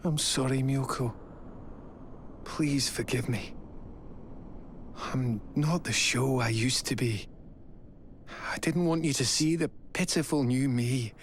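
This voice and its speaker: An actor playing a remorseful, disfigured scientist husband and father in a video game drama, earnest, halting and melodramatic. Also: mid-range voice, slight background noise. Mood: ashamed, sorrowful. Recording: loud music behind the voice. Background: loud background music.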